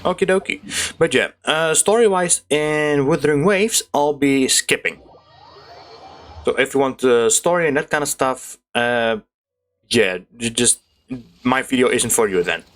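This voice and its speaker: A woman's voice: a bass voice, measured pace. Laid-back woman chatting over a game. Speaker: streamer playing a video game. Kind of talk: casual gameplay commentary. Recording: faint game music behind.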